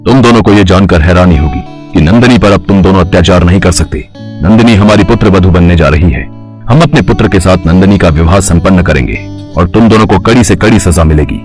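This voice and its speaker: A man's voice: low-pitched, brisk, darkish tone, soft background music, natural voice. A man playing a formal, regal king and father in a moral storybook tale. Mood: stern. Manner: formal, regal, speaks as royal "we". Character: worried, grateful, stern old-fashioned king and father. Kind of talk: Hindi moral storybook acting.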